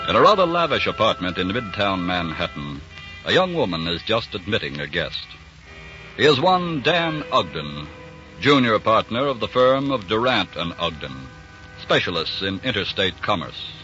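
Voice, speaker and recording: An American man giving grave, measured, portentous scripted narration for a 1940s radio crime drama. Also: fairly low pitch, big pitch swings, bright, forceful tone, faint music behind. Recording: dull 1940s radio recording.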